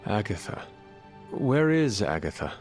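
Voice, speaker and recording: A man acting a stoic ancient demon hunter in a video game cutscene, with measured, formal phrasing. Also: lowish voice, very animated, darkish tone, soft background music. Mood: shocked, disbelieving.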